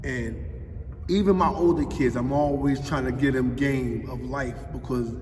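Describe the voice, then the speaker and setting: A man's voice: mid-range voice, a little slow, darkish tone, slight background noise. A man in his forties from Philadelphia with a Philadelphia accent, answering interview questions in an emphatic, streetwise way. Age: 40s. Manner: street-talking, emphatic, often adds "you know what I mean". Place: large echoey public building. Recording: roomy hall echo, distant mic, faint ambience.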